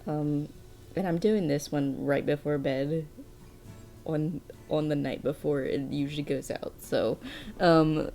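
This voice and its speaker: A woman's voice: low voice, steady tone, measured pace, warm tone, soft background music. A young adult woman casually commentating a game, rambling and laughing often, a bit sniffly from allergies. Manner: rambling, laughs often, restarts her words. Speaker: game streamer. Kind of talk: casual let's play commentary.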